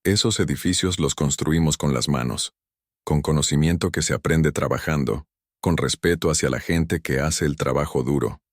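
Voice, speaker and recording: A man narrating a dramatic first-person story in a measured, stern manner. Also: rumbling low, no echo, clean studio sound.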